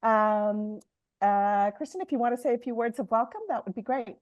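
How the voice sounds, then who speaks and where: A woman facilitating a webinar over a video call, introducing the speakers in a halting way with many fillers. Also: a little high, dry recording. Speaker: session facilitator. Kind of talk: hosting a webinar. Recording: dull lo-fi call audio.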